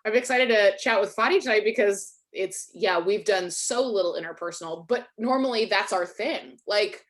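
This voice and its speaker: A woman's voice: mid-range voice, normal speed. A woman, hosting a casual video-call webinar, chatty and self-deprecating, with lots of likes. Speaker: webinar host, Spanish teacher.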